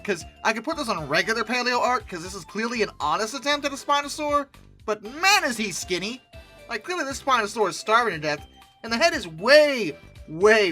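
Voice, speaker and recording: A video host delivers sarcastic comic commentary. The voice is bright and forceful, with animated pitch swings and mock-incredulous exasperation, over faint background music. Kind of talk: comic commentary on dinosaur art.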